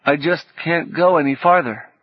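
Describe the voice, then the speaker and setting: A man's voice: on the high side, moderate pace, breathy edge, dry recording, pitched above the performer's own voice. A man voicing a sick, exhausted teenage boy who talks wearily and with resignation. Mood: despairing. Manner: weary, flat, resigned. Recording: dull lo-fi cassette audio.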